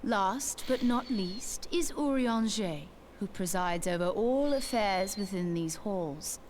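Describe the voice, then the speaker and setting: A composed, aloof sorceress read by a woman, unhurried and cool, at a slow pace. A faint hiss runs under her voice. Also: medium pitch, lively intonation, a little mellow.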